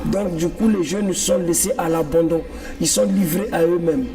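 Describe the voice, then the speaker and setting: A man's voice: a little high, steady tone, normal speed, warm tone. A man from the Congo, speaking earnestly as an activist guest in a TV interview and repeating points for emphasis. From Republic of the Congo. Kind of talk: political TV interview. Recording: distant mic with music behind. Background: clear background music.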